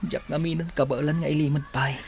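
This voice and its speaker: A man's voice: on the high side, fairly even intonation, fast talker, vocal fry, darkish tone, a little hiss or hum. A man playing a shy, earnest young suitor in a radio drama, hesitant and tender. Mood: shy confession. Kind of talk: Philippine radio drama acting.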